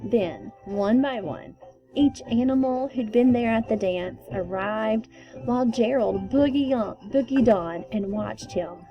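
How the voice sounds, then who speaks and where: Woman reading a kids book out loud, slow and expressive, bit high voice, music behind her. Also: highs cut off. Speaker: read-aloud storyteller. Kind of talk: expressive children's storybook reading.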